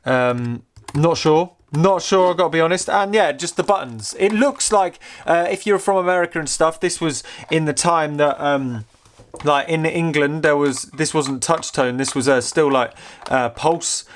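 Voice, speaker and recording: A man giving casual, unscripted commentary as a host showing a gadget, rambling and halting with repeated words, curious and easily amused. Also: on the high side. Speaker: host of a vintage technology channel.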